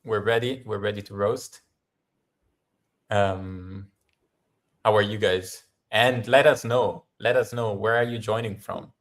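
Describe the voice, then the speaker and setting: Man, Italian accent, lowish pitch, upbeat live-stream host, chatty and welcoming. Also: fairly even intonation, measured pace, long pauses. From Italy. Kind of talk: casual live-stream chat. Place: home in Bali, at night.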